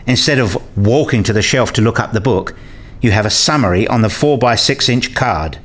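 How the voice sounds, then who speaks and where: A man's voice: on the low side, lively intonation, normal speed, a bit bright. A man acting in a scripted radio play as an eager, opinionated character who explains and argues his ideas. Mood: earnest, argumentative.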